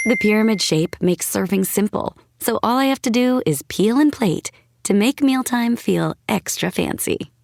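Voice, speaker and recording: A woman reading a scripted cat food advertisement in a soft, doting manner. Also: mid-range voice, dry recording. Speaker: ad voice-over. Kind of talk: scripted ad read.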